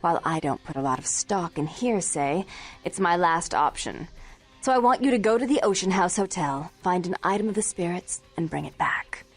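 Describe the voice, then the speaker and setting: A haughty vampire nightclub proprietress in a video game. The voice is low, with prim, formal diction and a condescending, controlling manner, over music. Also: female voice, lively intonation, moderate pace. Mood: businesslike, imperious. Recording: music behind.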